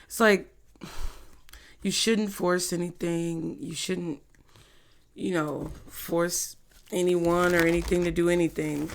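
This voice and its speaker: A hoarse woman giving a casual, chatty tarot reading to her viewers. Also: fairly low pitch. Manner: slow, chatty, laughs often. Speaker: tarot reader.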